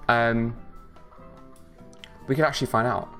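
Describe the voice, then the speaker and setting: A man's voice: mid-range voice, unhurried, vocal fry, a bit bright, faint music behind. A young man chatting on a livestream, tired after a bad night's sleep, rambling and joking with lots of filler words. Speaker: streamer. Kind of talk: casual livestream chat.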